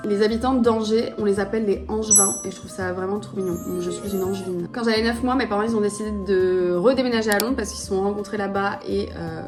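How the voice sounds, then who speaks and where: A woman's voice: mid-range voice, fairly even intonation, quick pace, few pauses. A young adult woman, a native English speaker raised in London, telling her life story in a chatty, slangy way while she does her nails. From born in France, raised in London. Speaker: vlogger. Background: music.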